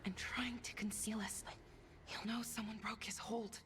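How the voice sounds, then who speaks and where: An actress playing a grave, wary mage in a video game, speaking in a hushed, cautious way. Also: female voice, a little high, flat delivery, measured pace, noisy background, close to an everyday voice.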